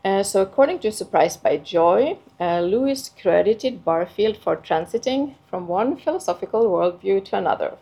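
Low, gravelly woman. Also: mellow. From Finland. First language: Swedish. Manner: hesitant, many filler sounds and restarts. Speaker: conference speaker. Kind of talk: academic conference paper.

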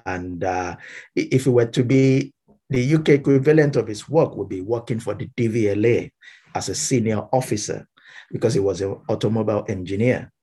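A man from Nigeria with a Nigerian accent, speaking reflectively as an interview guest in measured, halting, explanatory phrases. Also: fairly low pitch, warm tone, breathy edge. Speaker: interview guest, Baptist minister.

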